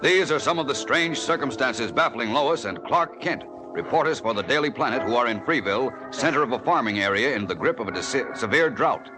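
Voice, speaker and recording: A man's voice: medium pitch, lively intonation, a little fast, hardly any pauses, rough recording. A man narrating a 1940s radio serial as announcer, emphatic, suspenseful and theatrical. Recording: old radio broadcast with music behind.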